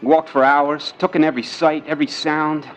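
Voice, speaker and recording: An actor playing an earnest, boyish young sailor son in a period radio drama, speaking in a halting way. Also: male voice, a little high, flat delivery, fairly bright tone, soft background music, natural voice, poor audio. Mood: excited wonder. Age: young adult.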